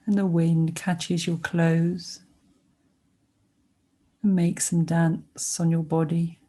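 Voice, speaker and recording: A woman guiding a sleep meditation with soothing narration, speaking gently and unhurriedly with pauses between short phrases. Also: fairly low pitch, little pitch movement. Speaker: meditation guide.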